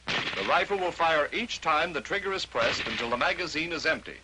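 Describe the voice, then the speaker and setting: A man's voice: a little high, lively intonation, brisk, very noisy. An American man narrating a 1940s instructional training film, explaining step by step in short declarative statements on a vintage film soundtrack.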